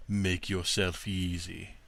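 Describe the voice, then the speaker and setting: Man voicing a slow, sly, low-pitched storybook wolf. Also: flat delivery, creaky, heavily acted. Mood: calm, scheming. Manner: slow, drawn-out, hushed. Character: sly, soothing wolf, scheming friend to an old dog. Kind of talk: storybook character voice.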